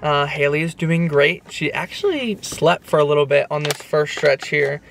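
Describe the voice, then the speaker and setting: A man's voice: fairly high pitch, normal speed, gravelly, fairly bright tone, slight background noise. Young man, casual vlog chatter, camera at arm's length, cheerful. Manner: upbeat, chatty updates to camera. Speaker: vlogger. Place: car and parking lot at night, handheld camera. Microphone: handheld camera at arm's length.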